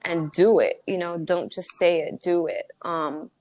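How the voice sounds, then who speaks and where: A woman's voice: lowish voice, lively intonation, measured pace, darkish tone. A woman from the Dominican Republic, an author and entrepreneur guest, speaking earnestly and with motivational emphasis in casual interview talk.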